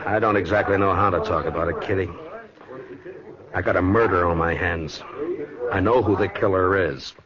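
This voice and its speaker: Stern western marshal, male actor, very low American voice, terse command, old muffled radio drama sound. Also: moderate pace, long pauses, a crowd in the background, close to an everyday voice. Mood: grim, low-key. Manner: terse, authoritative. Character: stern, world-weary frontier marshal, grown man. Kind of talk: 1950s radio western acting. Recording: muffled 1950s radio recording, crackly background.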